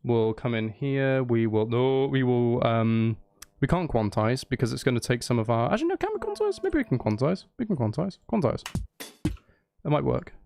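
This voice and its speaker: A man's voice: medium pitch, animated, moderate pace, creaky, darkish tone. A man streaming casually as he makes music, thinking aloud in a playful, rambling way. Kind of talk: casual livestream chat while making music. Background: electronic music being composed.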